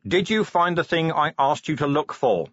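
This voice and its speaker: Casting: male reader playing gruff, insistent police commander for storybook, husky, swinging pitch. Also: animated, moderate pace, fairly bright tone, no room sound, highs cut off. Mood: stern. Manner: blunt, insistent. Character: gruff, suspicious male city watch commander.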